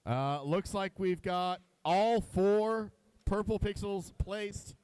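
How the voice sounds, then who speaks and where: A man with a notably high voice commentates on a robotics match at a live competition. He explains rules and wiring in a technical, explanatory way, often pausing on fillers mid-sentence. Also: darkish tone, clean tone, dry recording. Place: competition venue.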